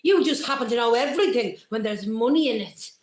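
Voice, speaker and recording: A woman's voice: a little high, animated, fairly quick, close to an everyday voice. Woman playing a sneering nationalist man. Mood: scornful, heated. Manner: sneering, heated taunts. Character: belligerent scornful Irish nationalist man. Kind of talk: live dramatic reading. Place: community centre hall, live event. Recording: roomy hall echo.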